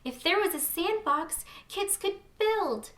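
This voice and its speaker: An eager little girl, played by a woman reading a storybook, very high and bright. She is hopeful and excitable, leaning on her words in a pleading sing-song. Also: takes it slowly, reverberant, heavily acted. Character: eager, hopeful little girls with big ideas. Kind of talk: children's storybook character reading.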